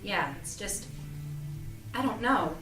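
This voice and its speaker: A woman's voice: mid-range voice, animated, slow, distant mic. A woman playing a polite, uneasy young shop worker in an audio drama table read, courteous and hesitant. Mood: troubled. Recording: echoey room, off-mic, music behind.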